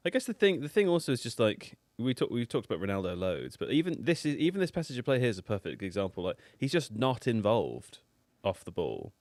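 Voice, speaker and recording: A man's voice: mid-range voice, wildly swooping pitch, darkish tone, no room sound. A man hosting a live football watchalong, chatty and playful, talking through the match with his co-hosts in a studio. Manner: chatty, playful, quick to joke. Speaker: host.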